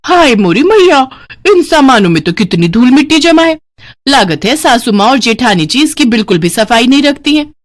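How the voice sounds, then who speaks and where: A woman voicing a naive young village daughter-in-law with a rustic eastern Hindi accent, exclaiming earnestly in an animated story. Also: sits high, normal speed, pitched above the performer's own voice. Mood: disapproving. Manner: wide-eyed, exclaiming, earnest. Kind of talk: animated moral story character voice.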